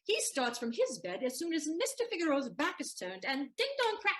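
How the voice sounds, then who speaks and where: An actress plays a sharp-witted young maid who teases and mocks. Her voice sits high and leaps around with lively swings in pitch. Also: brisk. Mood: pointed. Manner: teasing, sharp-witted, mocking. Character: Susanna, clever teasing young maid, female. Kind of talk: stage audio play acting.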